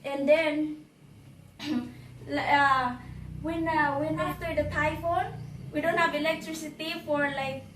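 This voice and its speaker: A woman with a Filipino accent and a slightly hoarse voice, chatting haltingly as she gives a casual house tour. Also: sits high, fairly even intonation, a bit bright. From Philippines. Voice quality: hoarse, with throat clearing. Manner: halting, chatty, repeats phrases. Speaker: vlogger giving a house tour. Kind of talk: casual vlog narration. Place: walking around a house, patio and indoors. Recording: roomy echo, distant mic, background noise.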